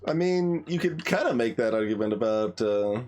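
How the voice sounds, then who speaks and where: A man's voice: mid-range voice, lively intonation, normal speed, darkish tone. A man giving casual commentary on a game playthrough, musing and thinking aloud as he goes.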